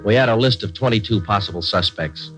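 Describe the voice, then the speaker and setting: A man's voice: on the low side, fairly quick, lightly acted. An American man playing a laconic, businesslike detective sergeant in a 1940s radio crime drama, speaking in clipped, matter-of-fact lines. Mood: deadpan narration. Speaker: narrator and lead actor. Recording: dull vintage radio recording with music.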